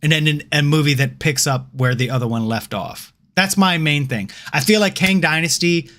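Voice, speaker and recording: Opinionated man, bit higher voice. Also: moderate pace. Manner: animated, opinionated, swears freely, repeats words when starting. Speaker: podcast co-host. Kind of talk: casual chat.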